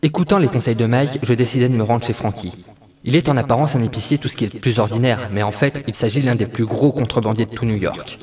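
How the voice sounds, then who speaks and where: A man's voice: mid-range voice, fast talker, a little mellow, close to an everyday voice. A man playing a weary, wry and stubborn 1930s private detective in a French audio drama, narrating and talking in noir style. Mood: brooding narration.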